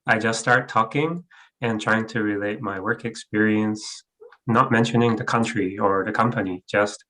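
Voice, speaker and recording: A man's voice: lowish voice, a bit bright, poor audio. A European man with a hard-to-place mixed English accent, speaking candidly as a guest on an online panel. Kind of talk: casual panel discussion. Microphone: video call.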